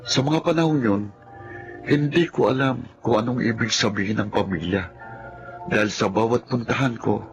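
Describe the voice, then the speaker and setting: A man's voice: medium pitch, moderate pace, music in the background, lightly acted. An actor playing a poor, hungry, mistreated boy in a radio drama, plaintive and timid. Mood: sorrowful. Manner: plaintive, timid, talking to himself. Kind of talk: dramatic first-person radio storytelling.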